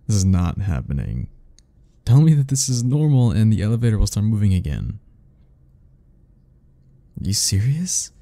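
A man's voice: on the low side, big pitch swings, moderate pace, pauses often, mellow, no room sound. A man playing an anxious, sarcastic, self-deprecating young man in a romantic ASMR roleplay, speaking in a wry, rambling way to the listener. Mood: panicked, exasperated. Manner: sighing, wry, rambling, chuckling.

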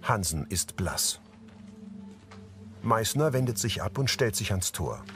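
Low-voiced male narrator over music. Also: big pitch swings, slow pace, a bit bright. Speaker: audio-description narrator. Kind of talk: scripted present-tense scene narration. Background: background music.

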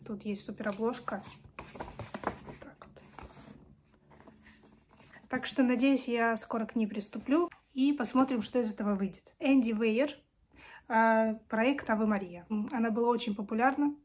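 A woman's voice: fairly high pitch, normal speed, long pauses, darkish tone, slightly rough. A woman in her twenties chatting casually to camera as a book vlogger, her voice strained by a cold and sore throat. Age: 20s. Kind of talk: casual chat to camera about books. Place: small home room with bookshelves.

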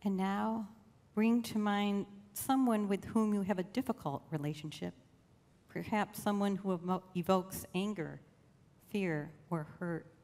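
A woman leading a guided meditation in a slow, gentle, soothing way with long pauses. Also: medium pitch, steady tone. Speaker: church meditation leader. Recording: long reverb of a large room.

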